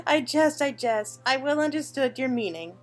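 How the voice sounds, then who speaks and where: Woman voicing a dainty, high-pitched young noble lady. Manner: formal, archaic, genteel.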